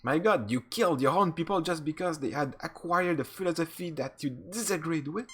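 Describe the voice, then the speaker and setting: A man voicing a firm, principled male starship captain in a game, reading aloud plainly with a husky voice and the odd stumble. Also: on the high side, brisk, fairly bright tone, pitched above the performer's own voice.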